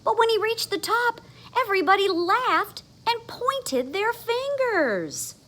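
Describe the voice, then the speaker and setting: A woman's voice: very high voice, sing-song, takes it slowly, fairly bright tone. A woman reading a children's story aloud expressively, with dramatic emphasis on the sound words. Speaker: storybook reader. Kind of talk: animated children's read-aloud.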